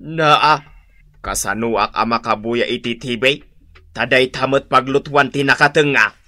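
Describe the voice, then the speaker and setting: A man's voice: mid-range voice, measured pace, bright, forceful tone, no echo. A man playing a grumpy, lazy old husband in an Ilocano radio comedy drama, with an Ilocano accent. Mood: grumbling.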